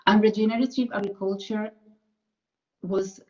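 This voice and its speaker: A woman's voice: medium pitch, fairly even intonation, normal speed, a little mellow, dull audio. A woman from Colombia with a Colombian Spanish accent, presenting her PhD research carefully, with frequent fillers and self-corrections. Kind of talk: academic presentation.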